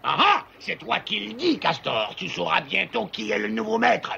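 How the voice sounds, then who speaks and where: A man voicing a bullying, menacing animal villain in a cartoon, with a gravelly raspy voice and bombastic manner. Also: high voice, very animated, moderate pace, lo-fi sound, heavy background noise, exaggerated voice. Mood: smug threatening. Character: bullying male villain animal, loud threatening henchman of a tyrant. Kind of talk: French cartoon dub acting.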